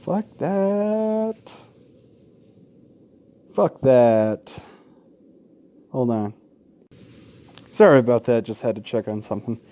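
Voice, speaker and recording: A man's voice: mid-range voice, expressive, slow, long pauses, highs cut off, slight background noise. A man hosting a let's play in an informal, sweary way, mixing casual gaming commentary and asides with game text read aloud.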